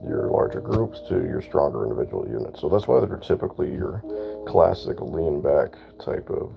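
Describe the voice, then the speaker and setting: A man with a raspy voice chatting casually while streaming gameplay and reading out viewer comments. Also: extremely low pitch, sing-song, normal speed, over music. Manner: laid-back, chatty, reads out chat comments. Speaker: gaming streamer.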